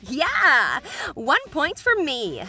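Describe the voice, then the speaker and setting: A woman performs a confident, competitive girl in a cartoon comic dub. Her high voice moves up and down a lot and has a bright edge. She is assertive and takes her time. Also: extremely slow, faint music behind, heavily acted. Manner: assertive, animated.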